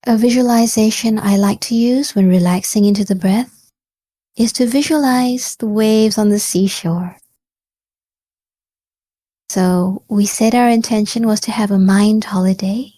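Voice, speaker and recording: A woman guides a meditation at a very unhurried pace. Her voice is soft-edged and mellow, recorded close and dry. She speaks gently and calmly, encouraging the listener with pauses between short phrases. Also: mid-range voice, no echo. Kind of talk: guided body scan meditation.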